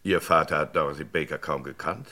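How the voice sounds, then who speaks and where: An actor playing a hearty older man in a German crime radio play, animated and talkative. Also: a bass voice, brisk. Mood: guarded. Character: hearty old American family friend, sociable and talkative.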